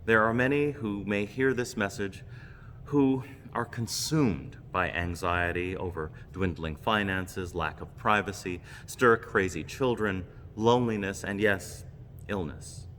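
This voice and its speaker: A man delivering a reflective scripted homily as a minister, in a measured, solemn, deliberate manner. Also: fairly low pitch, fairly bright tone. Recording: long reverberant hall, clear background noise.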